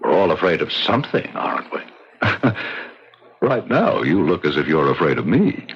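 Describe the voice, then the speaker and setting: An American actor playing a smooth, measured, faintly condescending psychiatrist in a 1970s radio drama. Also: male voice, low-pitched, very animated, exaggerated voice. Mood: calmly probing. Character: smooth, probing male psychiatrist with unsettling calm. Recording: dull, noisy 1970s radio recording.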